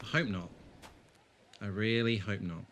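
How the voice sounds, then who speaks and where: A man's voice: lowish voice, measured pace, a bit bright, a little hiss or hum. A man playing a wry, commanding adventurer in an audio drama, with a terse, dry, blunt manner. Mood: stern, uneasy.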